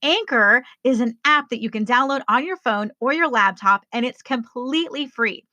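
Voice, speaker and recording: Bright, upbeat woman reading an ad. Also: a little high, normal speed, dry recording. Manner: enthusiastic, talks straight to listeners. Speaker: sponsor ad reader. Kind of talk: upbeat sponsor read.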